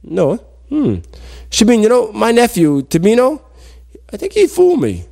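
A man voicing a lazy, gullible, greedy old uncle in a folktale, with whining, drawn-out pleas and repeated words. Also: high-pitched, huge pitch swings, gravelly, mellow, voice pushed up, exaggerated voice. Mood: puzzled, coaxing. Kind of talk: animated folktale storytelling.

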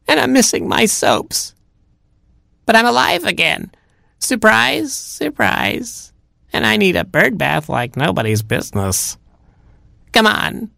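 High-pitched grumbling old granny robin. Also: creaky, fairly bright tone, no room sound, studio quality, full character voice. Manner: complaining, fussy old-lady delivery.

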